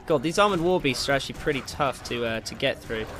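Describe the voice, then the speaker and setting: A man giving casual, excitable and jokey let's play commentary as he hosts a gaming video. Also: mid-range voice, fast talker, a little hiss or hum. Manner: excitable, jokey, mildly crude exclamations. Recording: game music behind the voice. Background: video game music.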